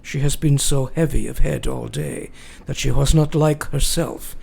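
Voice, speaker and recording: An actor playing a grave, devoted elderly foreign professor in a radio drama, speaking solemnly and deliberately in broken grammar. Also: male voice, mid-range voice, steady tone, gravelly, darkish tone, slightly rough, full character voice. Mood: solemn, fearful. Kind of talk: radio drama acting, dramatic journal narration.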